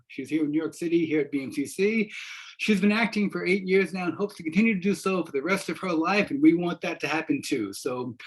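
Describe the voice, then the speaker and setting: An older man introducing an event as its host, halting as he goes, recorded over a video call. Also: a little high, breathy edge, rough recording. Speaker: theater professor and event host. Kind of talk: semi-scripted event introduction. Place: wood-panelled room at home. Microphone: video call, computer microphone.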